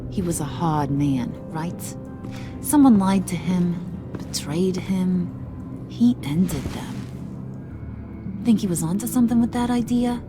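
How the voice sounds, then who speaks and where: A woman's voice: on the low side, takes it slowly, long pauses. An actress playing a cocky, volatile female gang boss in a video game, American, teasing and slangy, slipping from singsong to sinister. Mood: probing, menacing. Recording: loud game music underneath.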